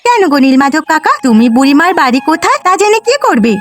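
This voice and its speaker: A woman's voice: high voice, very animated, quick pace, soft background music. A woman voicing a friendly, curious young villager in a cartoon, sweet and inquisitive. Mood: cheerful, curious. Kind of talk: Bengali cartoon dialogue.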